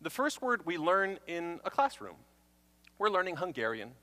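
A man's voice: sits high, moderate pace. A young man telling self-mocking stories in a stage talk. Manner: animated and self-mocking storytelling. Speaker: speaker. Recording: long hall reverb.

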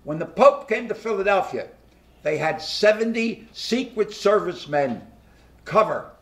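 A man in his nineties hosts a small studio talk show, seated at a table. He talks in a rambling, folksy way, full of fillers and restarts, with a voice a touch higher than most men. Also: big pitch swings, unhurried. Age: 90s. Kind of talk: casual interview chat.

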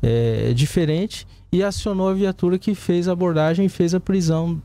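Mellow, higher-pitched Brazilian man. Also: normal speed. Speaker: police battalion commander, interview guest. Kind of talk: live studio interview answers. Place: small indoor broadcast studio. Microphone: desk gooseneck microphone.

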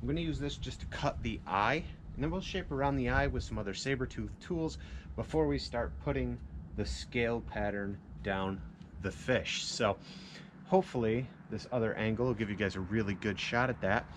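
A man with an average-pitched voice talks straight to his viewers in an informal, casual way. He sounds like a craftsman walking people through a job in his outdoor work yard, explaining each step plainly. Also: normal speed, pauses often. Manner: informal, addresses viewers as "you guys". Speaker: woodcarver presenting a tutorial. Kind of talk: casual how-to talk to viewers.

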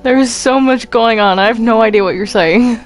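A woman's voice: a little high, a little fast, faint music behind. A woman chatting casually while gaming, giggly and rambling as she reacts aloud. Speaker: player in a Minecraft roleplay group.

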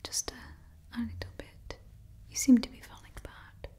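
A woman's voice: moderate pace, dark tone. A woman in her twenties performing a whispered ASMR roleplay up close to the microphone, repeating short soothing phrases. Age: 20s.

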